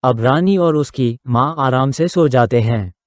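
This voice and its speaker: A man's voice: medium pitch, a little slow, no echo, studio quality. A man narrating a scripted moral story for a children's cartoon.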